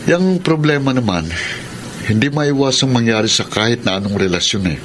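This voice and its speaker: An actor playing an easygoing, gently sceptical young male friend in a Filipino radio drama, talking conversationally. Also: medium pitch, lively intonation, normal speed, natural voice. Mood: teasing, advising. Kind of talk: Tagalog radio drama acting, casual dialogue. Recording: clear ambient noise behind dialogue.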